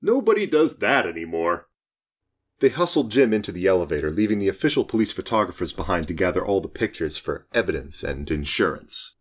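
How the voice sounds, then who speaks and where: A man narrating a short story as an audiobook, in a wry, expressive storytelling manner. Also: deep voice, fast, hardly any pauses.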